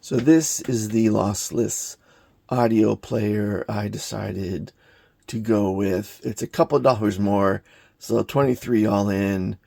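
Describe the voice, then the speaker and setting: A man giving an unscripted hands-on gadget review in a halting, plain-spoken, matter-of-fact way. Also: fairly low pitch, steady tone, vocal fry, darkish tone, no room sound, studio quality. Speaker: product reviewer, hobbyist maker.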